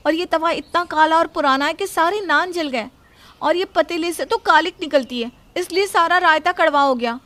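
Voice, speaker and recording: A woman's voice: extremely high pitch, moderate pace, pushed and bright, no room sound, pitched above the performer's own voice. A woman voicing a plaintive young daughter-in-law who insistently justifies herself in a moral story. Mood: defensive. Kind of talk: acted dialogue in a Hindi moral story.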